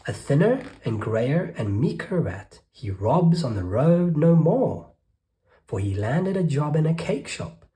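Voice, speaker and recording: A storyteller reading a rhyming children's picture book aloud with rhythmic, expressive delivery. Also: medium pitch. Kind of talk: children's read-aloud narration.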